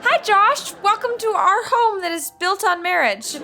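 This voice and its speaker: A woman's voice: very high-pitched, animated, measured pace, a crowd in the background, background noise, heavily acted. A woman playing an anxious, exasperated young wife in a sitcom podcast, flustered and awkward.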